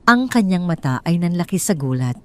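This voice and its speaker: A woman's voice: lowish voice, very animated, fairly quick, fairly bright tone, dry recording. Woman telling a dramatic story. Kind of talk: first-person dramatic story narration.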